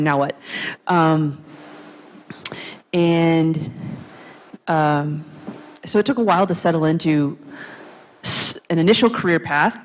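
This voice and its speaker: A woman from Dallas speaking on a panel, reflective and halting with many pauses. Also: sits low. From Dallas, Texas. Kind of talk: panel discussion.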